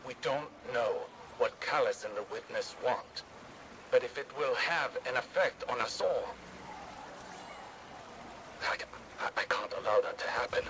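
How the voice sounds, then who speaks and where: An actor playing a stern, driven older scholar-warrior in a video game, speaking in a formal, clipped, intense way. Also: male voice, medium pitch, a little slow, pauses often, noisy background, lightly acted. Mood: stern, frustrated.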